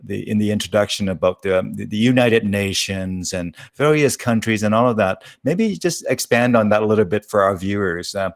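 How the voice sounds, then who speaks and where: A man hosts a casual interview in a fairly deep voice. His delivery is informal and halting as he feels his way through questions. The sound is close and free of echo. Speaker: talk show host. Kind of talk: casual live interview hosting.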